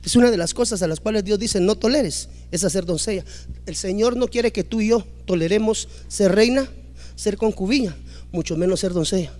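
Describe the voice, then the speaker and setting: High-voiced man preaching emphatically. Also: normal speed. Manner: emphatic, rising to loud exclamations, acting out quoted dialogue. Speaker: pastor. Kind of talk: preaching a sermon.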